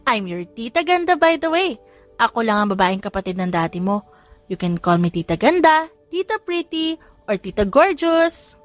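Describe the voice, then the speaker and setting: Woman playing a high-pitched, bubbly young aunt. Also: big pitch swings, normal speed, soft background music. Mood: gushing. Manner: gushing, exclaiming, mixes English into Tagalog. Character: bubbly, gushing young aunt, excitable and playful. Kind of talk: audiobook character voice.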